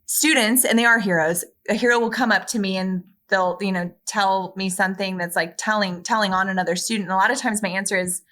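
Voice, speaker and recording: Bright, sharp-toned lady teacher in her 30s, chatty, talking from her classroom on a video call. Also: medium pitch, a little fast, hardly any pauses. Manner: chatty, restarts sentences, expressive. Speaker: kindergarten teacher, guest. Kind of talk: casual video-call interview. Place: classroom with windows.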